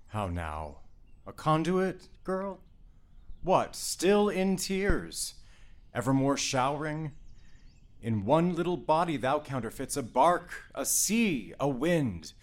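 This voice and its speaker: A man's voice: a little high, sing-song, takes it slowly. A man playing a furious, domineering noble father in a Shakespeare reading, blustering and commanding. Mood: weary scolding. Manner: blustering, commanding, rises to shouting rants.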